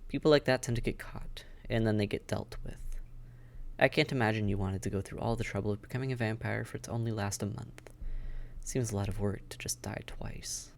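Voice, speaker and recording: A man's voice: on the low side, fairly even intonation, a little fast, creaky, slight background noise. A man acting a friendly, dry-witted vampire caseworker in a conversational audio roleplay, wry, understated and matter-of-fact. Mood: wry, informative. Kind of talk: conversational audio roleplay, one side of a dialogue.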